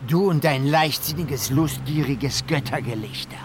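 An actor playing a bitter, scheming dwarf villain in a fantasy radio play, breathy and hissing, often dropping into whispers. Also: male voice, medium pitch, over music, close to an everyday voice. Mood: bitter, threatening. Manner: gloating, theatrical, drawn-out menace.

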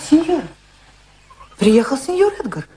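An actress playing a deferential maidservant at a villa in a Russian radio drama, answering briefly and obediently. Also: female voice, sits high, very animated, measured pace, dark tone, slight background noise.

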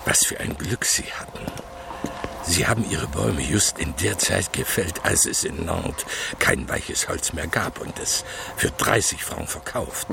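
An actor playing a jovial, prying small-town notary with an insinuating manner in a radio play. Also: male voice, sits low, gravelly, background noise, heavily acted. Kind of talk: German radio play acting.

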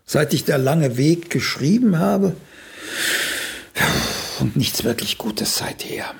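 An aging playwright in a radio drama, once celebrated and now doubting himself. His voice is gravelly and creaky, rising and falling widely. He takes his time, slyly flirting and musing, and sometimes drops to a murmur. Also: on the high side, very animated, slow pace, full character voice. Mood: wistful, self-pitying. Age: older. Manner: sly, musing, theatrical, with murmured asides. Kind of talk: radio play acting.

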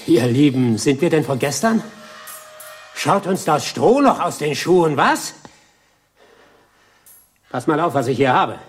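A shattered military fanatic in a radio play. His fairly high voice lurches widely between mockery and command. He speaks in a slow, halting, theatrical way. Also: big pitch swings, faint music behind. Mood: mocking, commanding. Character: Daragan, shattered fanatical military airman, menacing and anguished. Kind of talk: German radio play acting.

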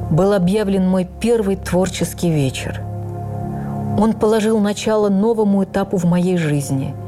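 A woman playing an older actress who recalls her life in a heartfelt, measured radio memoir reading with deliberate pauses. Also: fairly low pitch, lightly acted. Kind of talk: dramatic first-person memoir reading.

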